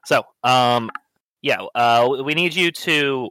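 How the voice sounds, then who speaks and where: A man chatting as a player in a tabletop roleplaying game, halting and full of restarts. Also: medium pitch, bright, forceful tone, clean tone, no room sound, rough recording. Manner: hesitant, lots of fillers and restarts.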